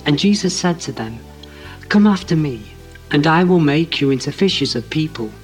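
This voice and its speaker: A man's voice: a little high, expressive, normal speed, faint music behind. A man reading a Gospel passage aloud as a scripture reader.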